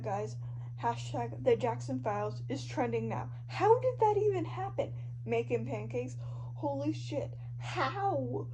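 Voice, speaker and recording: A woman reading fan fiction aloud in an informal, expressive way, stumbling over the odd word. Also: high voice. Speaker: amateur podfic reader. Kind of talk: expressive fan fiction reading of social media posts and narration. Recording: noisy amateur home recording.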